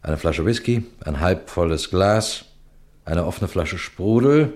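An actor playing a shrewd, composed senior police detective in a radio crime play, speaking in a deliberate, courteous, instructive way. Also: male voice, low voice, animated, a little mellow. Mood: probing, musing. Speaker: detective and narrator addressing listeners. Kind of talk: scripted German radio crime drama.

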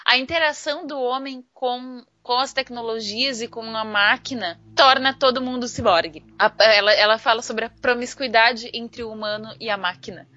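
A Brazilian woman chatting informally as a podcast panelist, explaining ideas in an animated, slangy way. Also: fairly high pitch, moderate pace, hardly any pauses, no echo. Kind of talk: casual discussion of ideas. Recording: dull lo-fi online audio, music underneath.

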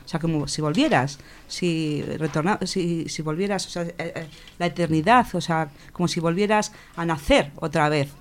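A woman from reflecting informally, halting and rephrasing with emphasis as a discussion participant. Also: low voice, a little hiss or hum. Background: faint music.

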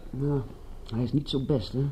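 An actor playing a loyal, mild-mannered detective friend in a radio drama, hesitant and conciliatory in manner. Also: male voice, mid-range voice, fairly even intonation, normal speed, mellow, husky, rough recording. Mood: conciliatory, resigned.

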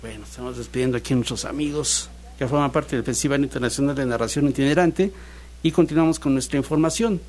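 A man with a Mexican accent hosting a radio interview, chatty and easygoing with quick questions. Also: mid-range voice, darkish tone, a little hiss or hum. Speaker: radio host.

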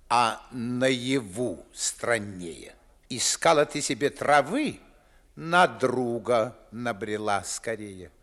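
A man's voice: medium pitch, fairly bright tone. An actor playing a pompous, grumbling middle-aged nobleman and father, declaiming verse sarcastically in 1950s theatrical radio style.

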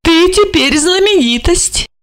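A woman voicing a playful, coquettish young rich woman, teasing in a high sing-song voice. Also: animated, takes it slowly, dry recording, clean studio sound, full character voice. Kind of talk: audiobook character reading.

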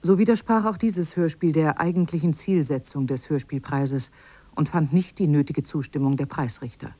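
Woman, low pitch, formal reading, very dry studio sound. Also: normal speed, mellow, no echo. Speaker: reader of a quoted text.

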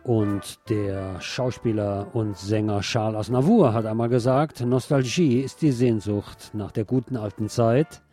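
Man hosting over clearly audible music. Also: lowish voice, measured pace, few pauses, mellow. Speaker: radio host. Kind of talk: reading quotations and commenting between music.

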